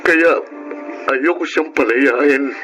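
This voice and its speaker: An actor playing a guilty, obsessive Filipino uncle, confessing haltingly in a radio drama. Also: male voice, mid-range voice, fairly bright tone, faint music behind, low-quality recording. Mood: remorseful, obsessive.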